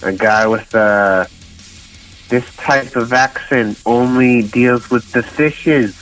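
A man's voice: mid-range voice, slow, fairly bright tone. A man voicing a confused radio caller who rambles and stumbles over his words. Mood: rambling. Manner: rambling, bumbling. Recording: distant mic, music behind.

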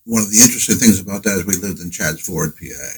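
A man's voice: deep voice, fast, background noise. An older man from New Hampshire, a guest in a casual interview, speaking hesitantly with many fillers and restarts.

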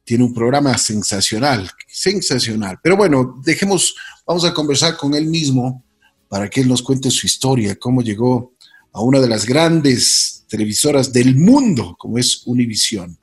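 A man's voice: medium pitch, animated, moderate pace, husky. A man hosting an interview, introducing his guest with effusive praise and repeated phrases. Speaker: host.

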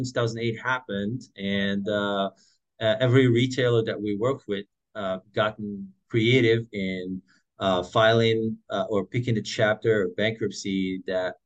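Deep voiced moroccan-born guy, 40s, rambly relaxed storytelling, lots of uhs. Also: rough recording. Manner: relaxed, many "uh" and "um" fillers, frequent restarts. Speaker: guest entrepreneur. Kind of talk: casual storytelling interview.

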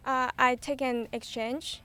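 A young woman, an exchange student guest on a radio interview, answering with hesitant pauses. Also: sits high, steady tone, pushed and bright, smooth. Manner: hesitant, fillers.

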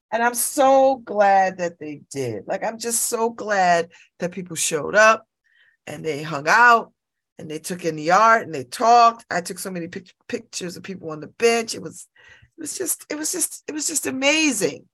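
A woman's voice: fairly high pitch, fairly bright tone. Exuberant, laughing woman in her fifties. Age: 50s. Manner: exuberant, laughing, repeats herself. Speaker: radio show host. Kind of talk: casual morning radio chat. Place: radio studio.

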